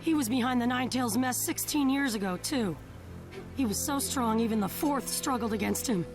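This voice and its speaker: A woman voicing an earnest, headstrong teenage ninja boy in a video game dub, with some rasp in the voice. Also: a little high, moderate pace, close to an everyday voice. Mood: urgent. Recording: music under the dialogue.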